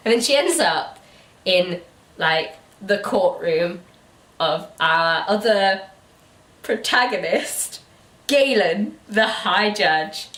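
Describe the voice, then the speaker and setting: A woman co-hosting a book review channel, chatting casually in an animated, incredulous way. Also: medium pitch, distant mic. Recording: echoey room, away from the mic.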